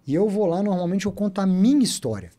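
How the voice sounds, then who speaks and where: Chatty Brazilian storyteller man, mellow voice. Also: high-pitched, fast. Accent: Minas Gerais Portuguese. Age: 30s. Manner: chatty, animated storyteller who loves to talk. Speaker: podcast guest, entrepreneur. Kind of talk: casual storytelling interview.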